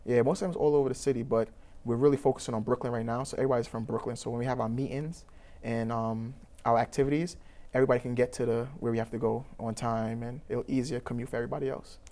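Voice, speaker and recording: Young Trinidadian man, program founder, fast and earnest with frequent restarts. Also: mid-range voice, fairly even intonation, mellow. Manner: earnest, rambling, many fillers and restarts. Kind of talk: interview answers.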